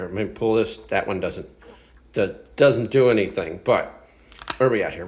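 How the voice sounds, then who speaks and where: A man hosting a casual hands-on product review, talking in an informal, off-the-cuff and self-deprecating way. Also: on the low side. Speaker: host of a gear review channel.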